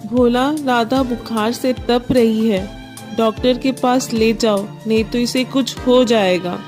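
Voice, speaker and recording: A woman's voice: on the high side, steady tone, takes it slowly, music in the background. A woman playing a poor, worried village wife and mother in a story, anxious and pleading.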